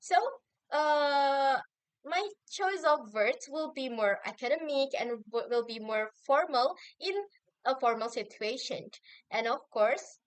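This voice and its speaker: Young woman student, high voice, steady explaining, dry close sound. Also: clear, resonant tone, no echo. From Indonesia. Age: young adult. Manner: measured, explanatory, with occasional hesitant restarts. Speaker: university student. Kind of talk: answering assignment questions on video.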